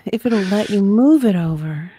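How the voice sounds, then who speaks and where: Female co-reader for witness answers: expressive pitch, casual, slightly hesitant and giggly, dry recording. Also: moderate pace, slightly rough, dry recording. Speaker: guest co-reader. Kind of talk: reading a deposition witness's part, with casual banter.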